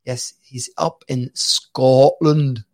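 A man's voice: medium pitch, steady tone, extremely slow, a little mellow. A man co-hosting a live-streamed chat show, rambling and chatty, laughing often. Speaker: podcast co-host.

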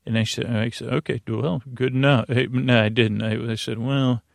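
A man hosting a bedtime podcast in a rambling monologue, halting and interrupting himself with stammered restarts, his voice creaky. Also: medium pitch, steady tone, dry recording, clean studio sound. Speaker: podcast host.

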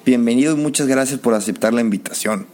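A man's voice: mid-range voice, fast talker, darkish tone. A man hosting a podcast, introducing and interviewing in an informal, enthusiastic way. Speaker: podcast host. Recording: music behind the voice.

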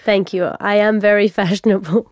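A woman hosting a comedy podcast, delivering satirical items with dry, deadpan timing. Her voice sits in the middle of the range and is recorded close, with no room sound at all. She lets the jokes land flat. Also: mid-range voice, normal speed, dry recording. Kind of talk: comedic scripted news segments.

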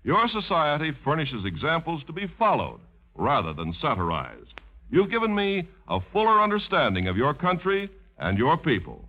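A man giving a formal scripted 1950s radio speech in a measured, oratorical manner. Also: fairly high pitch, wildly swooping pitch. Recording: muffled 1950s radio broadcast, music.

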